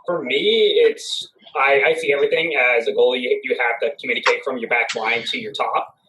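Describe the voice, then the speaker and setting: Teen male sports guest, mid-pitched, casual and emphatic, joking, with clear noise behind him. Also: brisk, pushed and bright, poor audio. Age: teenager. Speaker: student athlete guest, goalkeeper. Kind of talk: casual chat.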